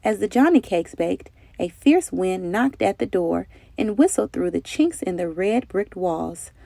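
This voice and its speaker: A woman's voice: medium pitch, animated, a little slow, gravelly, warm tone, dry recording. A woman reading a children's story aloud in a dramatic, carefully phrased narrating style with emphatic delivery. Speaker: storybook reader.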